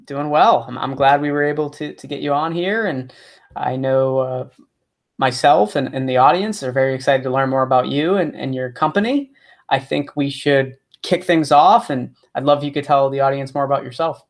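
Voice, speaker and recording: A man's voice: medium pitch, moderate pace. A man hosting a podcast interview over a video call, talking casually with many restarts and fillers. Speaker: podcast host.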